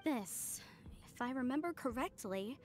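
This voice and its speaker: Principled young female archaeologist, high-pitched, earnest, formal, anime game, music behind. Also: animated, unhurried.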